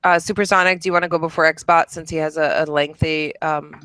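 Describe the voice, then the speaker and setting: Clear, bright, higher-pitched man hosting. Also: level tone, fairly quick, no echo. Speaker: podcast host steering the discussion. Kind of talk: casual chat.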